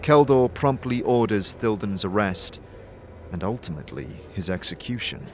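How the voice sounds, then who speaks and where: A man's voice: lowish voice, lively intonation, moderate pace, a little hiss or hum. A man reading scripted second-person narration as the storyteller of a fantasy audio drama.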